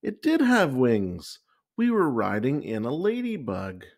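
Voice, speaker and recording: Male storybook narrator, unhurried, widely swinging intonation, expressive delivery for kids. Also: mid-range voice. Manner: expressive, deliberate storytelling for children. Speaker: story time reader. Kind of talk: scripted narration, children's picture book read-aloud.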